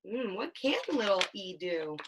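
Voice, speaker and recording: A mother reading a children's picture book aloud, with sing-song storytelling and drawn-out sound words. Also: medium pitch, lively intonation, background noise. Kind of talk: children's read-aloud with questions.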